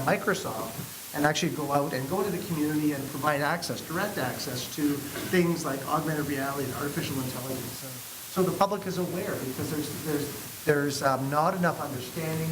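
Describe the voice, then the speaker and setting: A man's voice: on the high side, a little fast. A man, a city IT director, explaining step by step on a panel. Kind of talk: panel discussion. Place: university event hall. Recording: echoey room with hiss.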